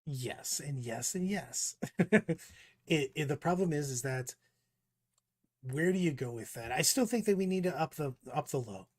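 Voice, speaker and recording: Podcast co-host, male, slightly high-pitched, emphatic and talky. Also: animated, measured pace. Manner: emphatic, repeats words when starting points. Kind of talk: casual gaming discussion. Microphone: studio mic.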